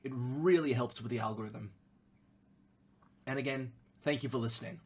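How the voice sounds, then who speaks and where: A man's voice: mid-range voice, normal speed, darkish tone, husky. A man reading scripted narration as the narrator of his own audio play. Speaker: narrator and writer of audio plays.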